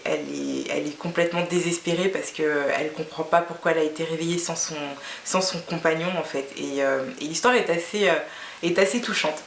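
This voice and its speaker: A woman's voice: sits low, fairly bright tone, slight background noise. Hesitant woman in her thirties, echoey room. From Guinea. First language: Fula. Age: 30s. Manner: hesitant, many fillers and restarts. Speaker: beauty vlogger. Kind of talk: casual talk to camera.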